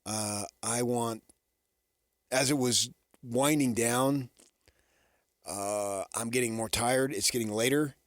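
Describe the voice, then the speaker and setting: A man's voice: medium pitch, slow, long pauses, dry recording, clean studio sound. A man hosting a sports radio show, talking casually in a rambling monologue and often answering his own questions. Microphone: studio radio microphone.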